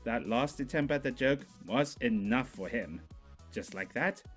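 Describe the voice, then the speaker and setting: A man's voice: medium pitch, animated, unhurried, slightly rough, soft background music. A man reading a comic story as its narrator in a scripted style.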